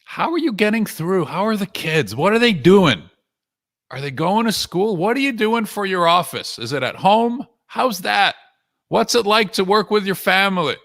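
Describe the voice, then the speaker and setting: A man's voice: high voice, gravelly, pushed and bright. A man working as a sales coach, giving a conversational live talk to viewers in punchy short phrases with frequent rhetorical questions. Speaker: sales coach and author hosting a live webinar.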